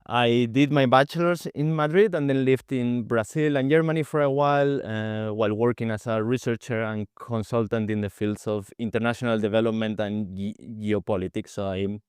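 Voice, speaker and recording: A man's voice: mid-range voice, fairly even intonation, normal speed, few pauses, a little mellow, smooth, no room sound, clean studio sound. A man from Spain in his thirties, a researcher answering podcast interview questions, hesitant with frequent repeated words and restarts. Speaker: guest researcher.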